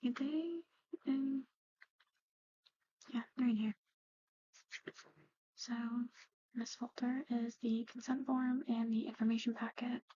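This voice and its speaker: A woman doing a whispered ASMR medical roleplay, explaining things gently and hesitantly as a matter-of-fact clinic practitioner. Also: on the high side, highs cut off, a little hiss or hum. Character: soft-spoken, matter-of-fact woman at an eerie old clinic. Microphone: close-up ASMR microphone.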